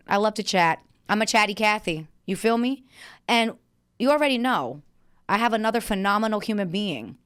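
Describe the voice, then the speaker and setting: A woman's voice: mid-range voice, a bit bright. A woman hosting a podcast in a recording studio, talking in an exuberant, playful and slangy way. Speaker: podcast host. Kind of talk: casual interview chat.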